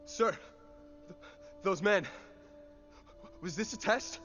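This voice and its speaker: An actor playing an earnest, troubled young male soldier in an animated sci-fi drama, halting and deferential in the way he talks. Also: high voice, faint music behind, exaggerated voice. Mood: troubled, protesting.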